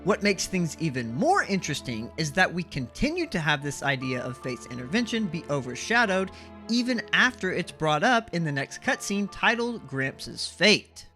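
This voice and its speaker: Bright, animated male video essay host. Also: fairly high pitch, normal speed. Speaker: host of a video game analysis series. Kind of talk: scripted video essay narration. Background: background music.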